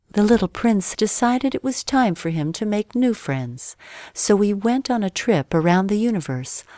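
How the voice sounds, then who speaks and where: A woman narrating a scripted bedtime story for children with expressive, careful phrasing. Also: mid-range voice, dry recording, clean studio sound.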